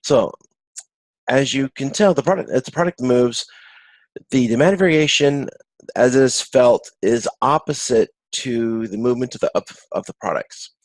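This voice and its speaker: A man giving an informal online lecture as an instructor, explaining in a halting way and often rephrasing himself. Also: mid-range voice, dry recording, poor audio. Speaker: course instructor. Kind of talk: informal recorded video-conference lecture with slides.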